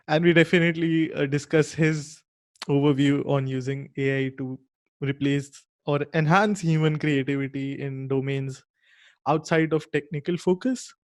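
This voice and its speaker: A man hosting a podcast, reading an upbeat scripted intro and asking interview questions. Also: on the high side. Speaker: podcast host.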